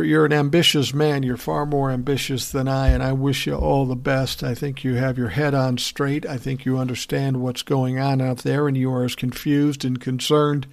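A man's voice: medium pitch, vocal fry. A man hosting a political podcast, giving blunt, profane opinion commentary and answering listener messages in a conversational way.

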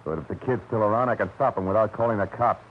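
A middle-aged actor playing a hard-boiled, wisecracking private detective in a 1940s radio drama, with a dry, sardonic delivery. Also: male voice, lowish voice, steady tone, very fast, a little hiss or hum, natural voice. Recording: tinny old radio broadcast with music.

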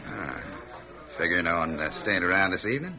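Deep, swooping cowboy henchman. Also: expressive, a little fast, sharp tone, exaggerated voice, poor audio. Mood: sly, friendly. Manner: folksy drawling cowboy slang. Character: rough, eager western outlaw henchman and bouncer. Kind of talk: old-time radio western acting. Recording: old radio recording, saloon chatter.

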